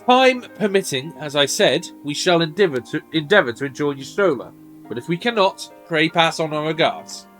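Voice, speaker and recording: A man reading as an earnest, courtly fantasy adventurer with formal, measured, archaic speech. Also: a little high, animated, fairly bright tone, soft background music. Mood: polite, brisk.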